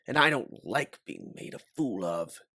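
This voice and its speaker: A man's voice: medium pitch, lively intonation, a little slow, sharp tone, no room sound, clean studio sound, pitched above the performer's own voice. A man voicing a haughty, menacing, wealthy crime boss with an imperious manner in an audiobook. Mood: angry, indignant. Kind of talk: audiobook character voice.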